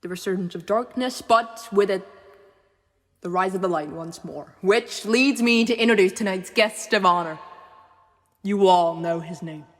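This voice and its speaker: A woman's voice: mid-range voice, unhurried, natural voice. A woman playing a gracious, ceremonious host addressing a grand gala in a radio play. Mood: grand, welcoming. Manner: ceremonious, theatrical. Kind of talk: radio play acting, formal speech. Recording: long hall reverb.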